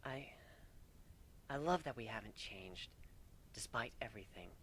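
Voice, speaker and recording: Man as a hushed, sly changeling pony wife. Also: on the low side, lively intonation, unhurried, husky, full character voice. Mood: teasing, affectionate. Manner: hushed, murmuring, teasing. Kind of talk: dramatic fan fiction reading.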